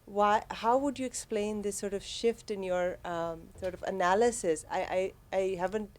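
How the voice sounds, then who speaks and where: A woman from Pakistan asking a question at a university talk, halting and full of fillers. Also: medium pitch, darkish tone. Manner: hesitant, halting, many fillers. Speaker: audience member asking a question.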